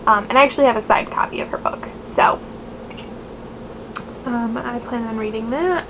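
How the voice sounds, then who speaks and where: A woman's voice: fairly high pitch, normal speed, a bit bright. A nasal-voiced woman in her twenties, chatting casually to camera as a book vlogger, rambling with many fillers. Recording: noisy home recording.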